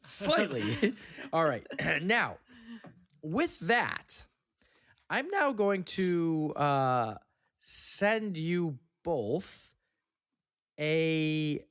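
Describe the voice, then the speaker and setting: A man's voice: on the high side, very animated, extremely slow, pauses often, a bit bright. A man hosting a podcast, moving between casual chat and scripted scene narration.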